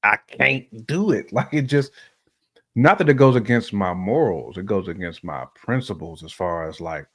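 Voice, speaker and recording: A man's voice: fairly low pitch, animated, normal speed, a bit bright. A man giving his opinions in casual conversation as a podcast guest, emphatic and often repeating phrases for emphasis.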